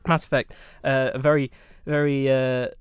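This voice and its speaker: Hesitant man pitching in casual chat. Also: little pitch movement, moderate pace, clean tone, no echo, studio quality. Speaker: podcast panellist. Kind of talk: casual debate chat, pitching a film idea.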